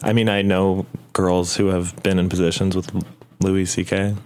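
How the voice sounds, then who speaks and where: A man chats as a podcast guest, easygoing and quick to laugh. His voice sits low. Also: level tone, a little mellow, slight background noise. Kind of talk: casual chat.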